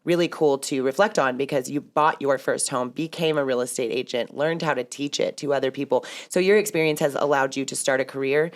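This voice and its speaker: A woman's voice: a bass voice, a little fast, few pauses. A woman who hosts a podcast and works as a real estate broker, talking in a chatty, rambling way and laughing often. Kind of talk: casual podcast conversation.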